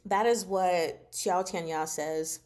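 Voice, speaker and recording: A woman hosting a casual book discussion livestream, chatting to viewers in an expressive, exclaiming manner. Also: fairly low pitch, takes it slowly. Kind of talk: casual chat, discussing a novel with live viewers.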